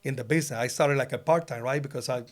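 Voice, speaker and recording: A man's voice: medium pitch, fast talker. A middle-aged man from Puerto Rico, a native Spanish speaker with a Puerto Rican accent, telling his story casually and ramblingly as a guest, with frequent filler phrases. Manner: rambling, peppers talk with "you know". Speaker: podcast guest, loan officer.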